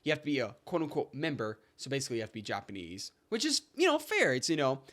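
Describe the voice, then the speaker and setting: A young man co-hosting a podcast, telling stories in a casual, animated way that jumps up high when he gets excited. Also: quick pace. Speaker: podcast co-host. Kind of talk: casual storytelling chat.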